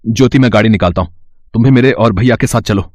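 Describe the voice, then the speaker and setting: A man's voice: lowish voice, quick pace, a little mellow, no room sound, clean studio sound, close to an everyday voice. A man voicing a worried husband and grown son speaking urgently to his family in a moral story. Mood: alarmed, urgent.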